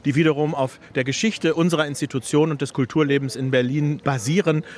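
A man's voice: a little high, steady tone, brisk, darkish tone. Man giving a considered interview statement. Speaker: artistic director of a festival.

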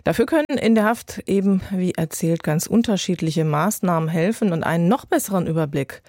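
A woman's voice: fairly low pitch, a little fast, darkish tone, dry recording. A woman presenting a radio programme from a script in a studio. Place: dry studio.

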